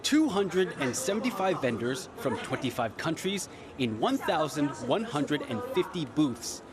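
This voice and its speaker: A man reporting a light feature story in a playful, animated way. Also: mid-range voice, background noise.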